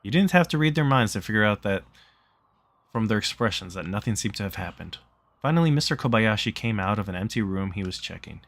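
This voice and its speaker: A man's voice: on the low side, expressive, a little fast. A man reading a visual novel aloud as a streamer, in casual narration.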